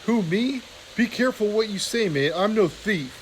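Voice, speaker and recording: Coarse, petty weasel guards squabble in raised, high-pitched voices, as a male storyteller puts them on. Their bickering is loud and quick-tempered, a rough storybook comedy pair. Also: lively intonation, slight background noise, poor audio.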